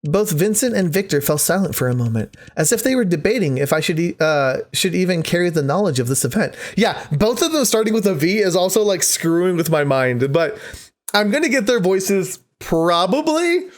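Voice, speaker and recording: A man's voice: high-pitched, sing-song, normal speed. A man hosting a let's play, reading visual novel text aloud expressively with casual commentary. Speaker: gaming video host.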